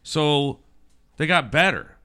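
A man's voice: on the high side, takes it slowly, sharp tone, smooth. An American man on a podcast panel, chatting casually with wry, profane remarks. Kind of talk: casual chat.

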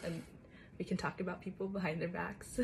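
A woman's voice: on the low side, level tone, a little fast, gravelly, slight background noise. Young woman asking curious interview questions. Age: young adult. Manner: curious, asks friendly follow-up questions. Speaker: interviewer, college student. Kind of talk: casual interview chat.

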